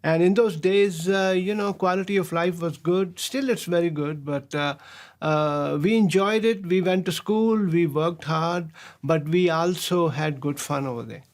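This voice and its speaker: A man, a professor telling stories of his past in an interview, pausing often on fillers and chuckling as he goes. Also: fairly high pitch. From Pakistan. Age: 60s. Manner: chuckles often, halting with many filler sounds. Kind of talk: relaxed storytelling interview.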